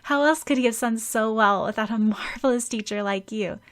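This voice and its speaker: A woman's voice: a little high, moderate pace, lightly acted. A woman voicing a sweet, earnest, admiring schoolgirl in an audiobook. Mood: curious, teasing. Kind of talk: audiobook character voice.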